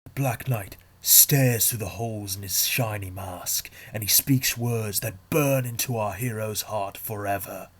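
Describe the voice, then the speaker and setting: A man's voice: on the low side, measured pace, few pauses. A man playing a weary, protective leader of survivors in an amateur audio drama. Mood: dramatic storytelling. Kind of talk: fan audio drama acting.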